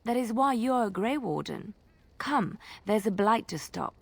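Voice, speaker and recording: Stern fantasy game warrior woman, husky, gravelly, commanding. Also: mid-range voice, lively intonation, unhurried, full character voice. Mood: firm.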